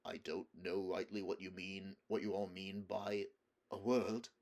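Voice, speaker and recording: A man's voice: deep voice, flat delivery, a little slow, darkish tone. A man doing a stout, breathless marsh creature. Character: gloomy, stout-hearted marsh creature, male.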